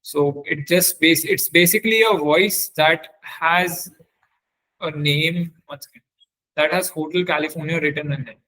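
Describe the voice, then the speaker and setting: A man presenting a live software demonstration as a webinar trainer, speaking haltingly and often repeating or correcting himself. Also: a little high, poor audio.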